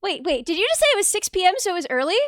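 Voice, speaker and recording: A young woman chats her way through a soldering stream, bubbly and quick to laugh as she explains each step. Her voice sits high and bright, and the sound is dry and close. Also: big pitch swings, dry recording. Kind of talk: casual live-stream chat and explanation.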